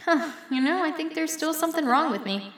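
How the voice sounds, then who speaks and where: A woman's voice: high-pitched, animated, a little fast, a bit bright, reverberant. A woman playing a wry, nervy watchtower crew member in an audio drama, dry and sarcastic in naturalistic style. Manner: dry, sarcastic, hesitant under stress.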